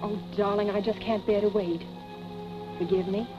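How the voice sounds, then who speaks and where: Actress as a coy 1940s film temptress, muffled soundtrack. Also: female voice, medium pitch, steady tone, moderate pace, over music, close to an everyday voice. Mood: coy, pleading. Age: young adult. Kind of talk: 1940s film melodrama acting.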